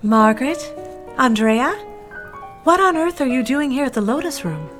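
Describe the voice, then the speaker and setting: An actress plays a nosy young woman full of surprise. Her voice is fairly high, in period audio drama style, with music clearly heard behind. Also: animated, moderate pace. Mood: astonished.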